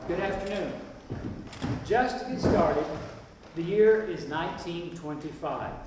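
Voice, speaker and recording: A man playing a folksy small-town narrator, introducing and telling the story in a staged play reading. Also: a little high. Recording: echoey hall from far off, hiss.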